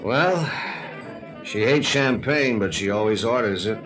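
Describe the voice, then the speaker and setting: A boozy, disillusioned reporter from old radio noir. His voice is fairly low, and he lets the words drag with cynical, lovelorn weariness. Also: animated, over music, poor audio. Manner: boozy, world-weary, drawn-out. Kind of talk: 1950s radio detective drama acting.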